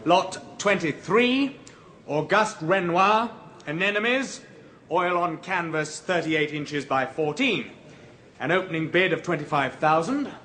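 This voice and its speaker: A formal auctioneer with a high, lively voice. He calls lots and bids at a measured pace, as in an old radio drama. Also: full character voice. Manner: formal, rapid bidding calls. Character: brisk auctioneer at a London sale.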